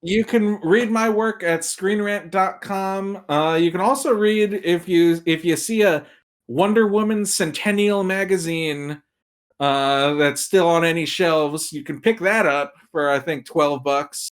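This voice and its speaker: A man chatting casually as a podcast guest, animated and jokey, rambling a little and acting out imagined quotes. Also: high-pitched, measured pace. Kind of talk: casual chat.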